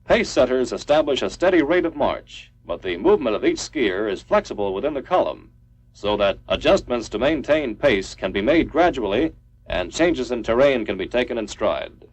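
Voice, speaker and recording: American man narrating, lively pitch swings. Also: normal speed, breathy edge, low-quality recording. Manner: instructional, clipped declarative delivery. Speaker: training film narrator. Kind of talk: scripted military training film narration.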